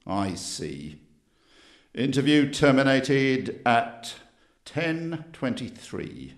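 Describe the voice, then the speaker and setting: A man's voice: mid-range voice, sing-song, very slow, noisy background. A man voicing a skeptical police sergeant who questions a suspect dryly in a radio play. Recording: roomy, echoey sound.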